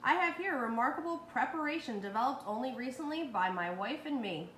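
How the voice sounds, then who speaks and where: A woman's voice: high-pitched, animated, fairly quick, a bit bright. A woman voicing a firm, wary male dentist from a children's storybook, matter-of-fact and emphatic. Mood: businesslike. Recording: roomy, echoey with background noise.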